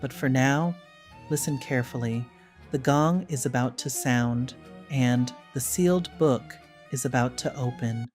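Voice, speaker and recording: A woman hosting a podcast, introducing an old radio series in a measured, slightly dramatic way. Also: extremely low pitch, loud music behind the voice. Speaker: podcast host.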